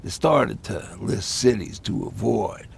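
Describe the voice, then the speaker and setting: An actor playing a weathered survivor who recalls hard times in a halting, reflective way, with a gravelly, husky voice, in a naturalistic video game style. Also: male voice, fairly low pitch, expressive, a little hiss or hum, exaggerated voice. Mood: somber.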